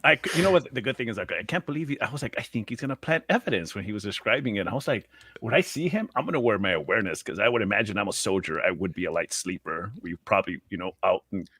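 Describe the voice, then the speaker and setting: Deep husky guy chatting about a tabletop game, kinda eager, dry close sound. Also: expressive, quick pace, fairly bright tone, no echo. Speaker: tabletop roleplaying player. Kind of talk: casual post-game chat.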